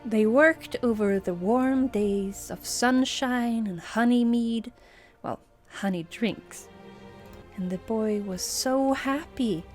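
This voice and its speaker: Brief: woman narrating a bedtime story, slow, soft and hushed, faint music under. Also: fairly high pitch. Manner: soft, hushed, often whispering. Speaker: streamer telling a story.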